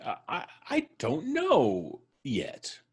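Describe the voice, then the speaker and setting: A man playing a calmly cheerful doomed stranger. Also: a little high, breathy edge, full character voice. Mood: serene. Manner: unhurried, amused, serenely cryptic. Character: calm, cheerful man expecting his death. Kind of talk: live radio drama reading.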